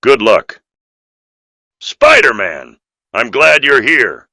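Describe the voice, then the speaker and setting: Man acting bright-voiced, firm police officer. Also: medium pitch, sing-song, takes it slowly, no echo, clean studio sound, full character voice. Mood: grave. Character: earnest New York police officer, man, dutiful and firm. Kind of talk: video game dialogue.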